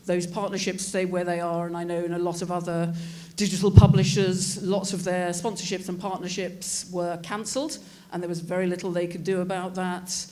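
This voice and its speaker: A woman executive speaking on a conference panel in a measured, businesslike way. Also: on the low side, level tone, dark tone. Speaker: esports team executive. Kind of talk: panel discussion.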